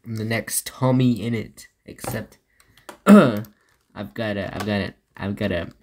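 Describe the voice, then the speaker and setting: A woman with a Southern drawl and an unusually low, deep voice narrates her own gaming session. She drifts from thought to thought at an unhurried pace, chatting to herself. Faint keyboard clicking sits behind her. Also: a little mellow, slight background noise. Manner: rambling, talks to herself between moves. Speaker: gaming YouTuber. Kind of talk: solo gameplay commentary.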